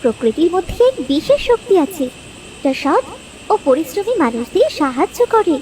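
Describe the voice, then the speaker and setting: A woman voicing a gentle, solemn fairy who advises and admonishes in a Bangla cartoon. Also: sits high, sing-song, normal speed, a little hiss or hum, rough recording. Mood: gentle, reassuring. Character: gentle, solemn fairy granting gifts to the honest.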